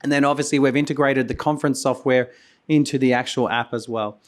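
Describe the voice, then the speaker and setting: Hoarse man giving a keynote. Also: mid-range voice, fairly even intonation, brisk. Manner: loose and rambling, with frequent restarts and coughs. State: hoarse, losing his voice. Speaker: conference host and company founder. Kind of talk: conference keynote speech. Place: conference venue.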